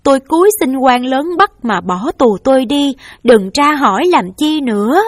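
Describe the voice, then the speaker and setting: A woman's voice: sits high, expressive, moderate pace, dry recording, studio quality. A woman voicing a distraught middle-aged mother who pleads and takes the blame for her child. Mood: desperate. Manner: desperate, pleading.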